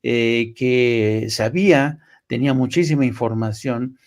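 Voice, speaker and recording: A Mexican man with a medium-pitched voice speaks off the cuff as a journalist commenting on politics. He is emphatic, restarting and repeating words as he builds his point. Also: unhurried, darkish tone.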